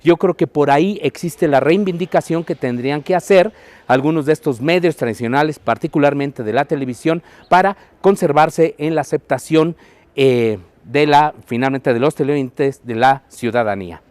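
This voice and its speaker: A Mexican man delivers prepared commentary as a media analyst. His voice sits a little high for a man and sounds dry, with no room echo. He explains things in a measured, orderly way. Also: darkish tone. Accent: Mexican Spanish.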